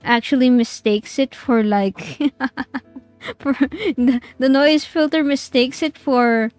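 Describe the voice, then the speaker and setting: A woman with a squeaky voice, streaming a game and chatting with viewers in a giggly, rambling way. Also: takes it slowly, a little mellow, no echo, soft background music.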